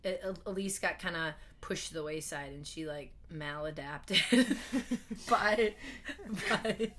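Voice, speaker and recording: Hoarse-voiced young woman chatting. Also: low-pitched, slow, fairly bright tone, slight background noise. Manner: chatty, laughs often, trails off mid-sentence. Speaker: podcast guest. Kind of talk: casual interview chat. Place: family home.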